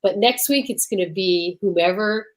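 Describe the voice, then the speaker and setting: A woman's voice: mid-range voice, normal speed, low-quality recording. A woman co-hosting a casual comedy chat show in a joking, theatrical manner. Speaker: co-host.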